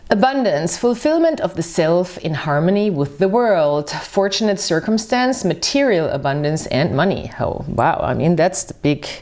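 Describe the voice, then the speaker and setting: A woman whose first language is not English, reading tarot cards to camera in a soft, musing way. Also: fairly low pitch, expressive. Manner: soft, musing, reads slowly from a book. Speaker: tarot reader.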